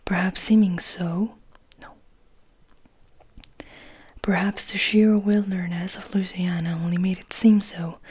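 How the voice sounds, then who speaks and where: A woman reading as a composed, wistful male vampire in a soft, confiding, breathy whisper for an ASMR audiobook. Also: lowish voice, no room sound, heavily acted. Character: gentle, composed, wistful male vampire telling his story.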